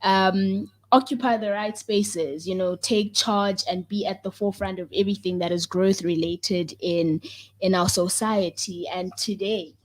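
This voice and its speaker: A woman's voice: medium pitch, fairly even intonation, measured pace, rough recording. Playful young South African woman hosting radio. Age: young adult. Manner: playful, repeats phrases for emphasis. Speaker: radio show host. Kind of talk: lively youth radio talk show hosting.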